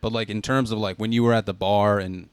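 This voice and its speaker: Podcast host, male; deep, clean voice, dry booth sound; blunt, teasing banter. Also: steady tone, fairly quick, clean tone, dry recording. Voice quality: low voice. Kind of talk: casual crude banter.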